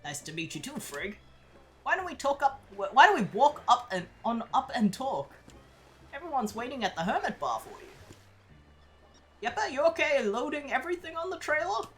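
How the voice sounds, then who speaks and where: A woman's voice: sits high, very animated, moderate pace, fairly bright tone, a little hiss or hum, pitched above the performer's own voice. A woman voicing a friendly older male carpenter who talks in a homespun drawl, with "howdy" and "ain't". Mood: folksy.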